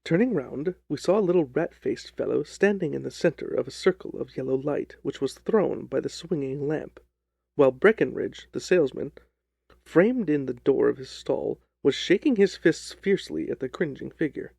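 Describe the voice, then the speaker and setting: A man's voice: on the high side, animated, moderate pace, creaky, darkish tone, husky, dry recording. A man reading scripted classic fiction as an audiobook narrator.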